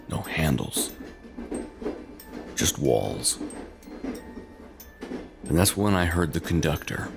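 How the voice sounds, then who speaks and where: Male horror storyteller, extremely low pitch, gravelly fry, ominous and dramatic, short halting phrases. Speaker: narrator of an audio horror tale. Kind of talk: scripted first-person horror narration.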